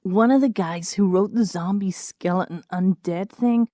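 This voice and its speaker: A woman's voice: medium pitch, a little slow, dark tone, dry recording, studio quality. A woman reading scripted first-person story narration in a wry, understated way.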